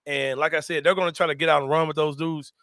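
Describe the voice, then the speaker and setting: A young man hosting a casual sports talk show, animated and slangy and quick to laugh. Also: on the high side, dry recording, studio quality.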